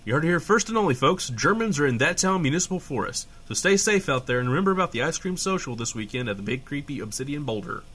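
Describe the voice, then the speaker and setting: A man's voice: on the high side, animated, fast talker, few pauses, a bit bright, a little hiss or hum. A man playing a brash small-town broadcaster reading absurd local news in a comedic audio drama. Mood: upbeat.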